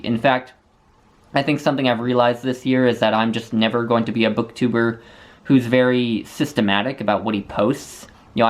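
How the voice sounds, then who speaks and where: A man from the United States, a book video maker, talking through viewer questions in a casual, thoughtful way with frequent small restarts. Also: medium pitch. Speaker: booktuber answering viewer questions. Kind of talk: casual Q&A monologue.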